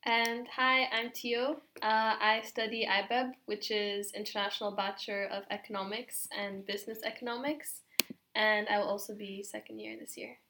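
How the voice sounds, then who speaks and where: A young university student from Romania talks as a podcast guest. Her voice is bright and sharp but stays very level in pitch. She speaks in a measured, reflective way. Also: little pitch movement, slight background noise. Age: young adult. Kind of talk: casual chat.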